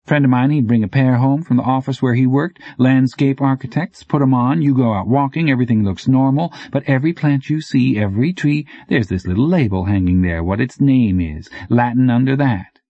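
A man's voice: medium pitch, fairly quick, few pauses, dry recording, muffled recording, voice pushed up, close to an everyday voice. A man voicing a brash, teasing bike courier with slangy, clipped, needling talk in an audiobook. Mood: explaining, amused. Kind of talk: audiobook character dialogue.

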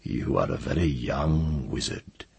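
An immense old dragon from a fantasy storybook speaks through a male reader in a rock-bottom, dry voice. The delivery is slow and hushed, thick with breath and husk, with a sly, taunting patience. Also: rumbling low, lively intonation, vocal fry, breathy edge, heavily acted. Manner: slow, quiet, deliberate. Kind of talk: audiobook fantasy character voice.

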